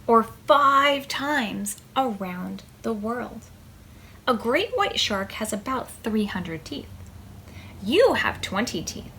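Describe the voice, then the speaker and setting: Lady doing preschool storytime, kinda high voice, really slow expressive read-aloud, bit of noise in the background. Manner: deliberate, expressive phrasing with emphasis for small children. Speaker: children's librarian hosting preschool storytime. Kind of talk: read-aloud of a picture book for young children.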